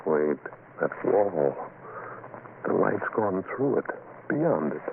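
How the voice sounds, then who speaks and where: An actor playing a frightened, protective father, halting and dramatic, in an old radio horror play. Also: sits low, very animated, vocal fry, full character voice. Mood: uneasy wonder. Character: frightened, protective father trapped in haunted cabin. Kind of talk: 1970s radio horror acting. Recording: noisy, tinny old radio recording.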